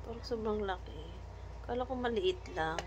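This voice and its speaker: A woman's voice: mid-range voice, normal speed, noisy background. A Filipino woman chatting casually in an unboxing vlog, thinking aloud and mixing in English words. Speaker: vlogger.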